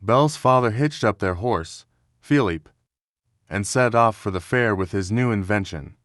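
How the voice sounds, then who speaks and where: A man's voice: fairly low pitch, moderate pace, a bit bright, no echo, studio quality. A man reading scripted narration as the narrator of a children's storybook.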